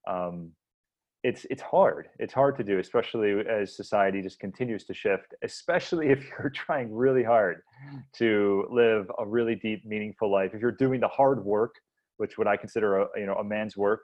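A man with a deep voice hosting a podcast, speaking earnestly and haltingly, often restarting his sentences. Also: darkish tone, highs cut off. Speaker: podcast host. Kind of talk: reflective podcast talk.

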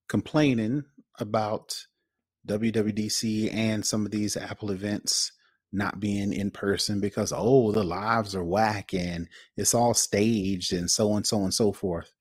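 Low, slightly husky American man. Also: steady tone, slow, no room sound, studio quality. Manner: informal, slangy. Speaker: podcast co-host. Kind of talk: casual chat.

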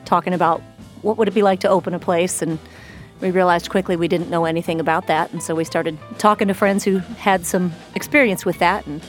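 Woman, lowish voice, chatty storyteller, from the Midwest, faint music behind. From Midwestern United States. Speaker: bar co-owner and musician. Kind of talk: casual interview answers.

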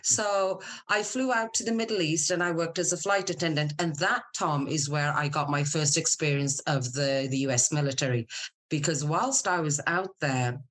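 A woman's voice: deep voice, moderate pace, fairly bright tone. A middle-aged woman, chatting candidly and informally as a guest in an interview, telling personal stories. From Scotland. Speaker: podcast guest, military spouse and business owner.

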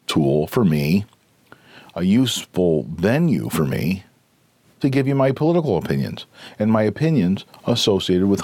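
A hoarse middle-aged man hosting an opinion podcast, getting over a cold, talking earnestly and emphatically and often restating his points. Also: deep voice, a little mellow, no room sound. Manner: earnest, emphatic, repeats and rephrases himself. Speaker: podcast host.

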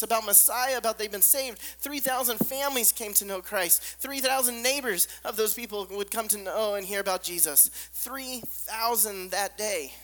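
A man with a squeaky voice preaching a sermon, hammering points through repetition and dropping to soft, hushed lines. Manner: emphatic repetition, drops to soft near-whispers. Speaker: pastor. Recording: long reverb of a large hall.

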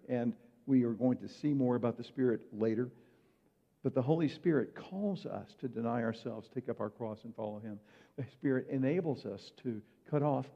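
A man's voice: mid-range voice, vocal fry, slightly rough. Preaching man in a muffled echoing hall. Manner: conversational preaching with rhetorical questions. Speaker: pastor. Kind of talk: sermon.

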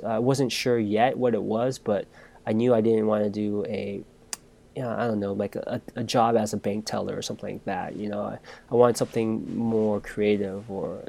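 A man's voice: lowish voice, vocal fry, a little mellow. A man hosting a podcast, telling a personal story in casual chat and restarting his sentences often.

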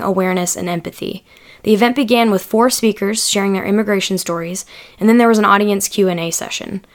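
A woman hosting a podcast, reading a calm, scripted introduction. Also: medium pitch. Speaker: podcast host.

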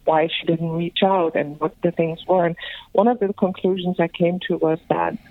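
A woman from Greece, a filmmaker guest speaking thoughtfully and softly about her work. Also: on the low side, no room sound. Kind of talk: reflective interview talk. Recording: tinny phone line, dry.

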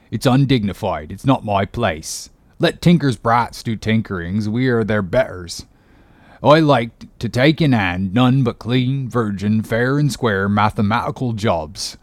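A man's voice: fairly low pitch, expressive, a little slow, no room sound. A man voicing a fussy, grumbling older ship's carpenter who mutters complaints to himself in an audiobook story.

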